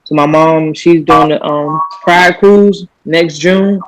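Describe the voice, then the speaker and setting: Relaxed man in his thirties from Miami. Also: a little high, slow pace, background noise. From Miami, Florida. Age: 30s. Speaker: podcast guest.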